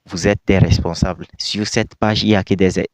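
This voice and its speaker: Low-voiced man scolding his viewers. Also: fairly even intonation, moderate pace, no echo. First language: not French. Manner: repetitive, emphatic, scolding rhetorical questions. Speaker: video commentator. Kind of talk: casual reaction commentary to viewers.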